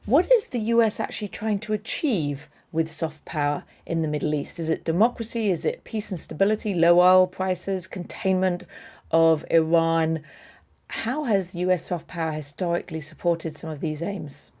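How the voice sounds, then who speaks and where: A woman moderating a policy debate, summarizing arguments and posing questions in a measured way. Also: lowish voice, dark tone.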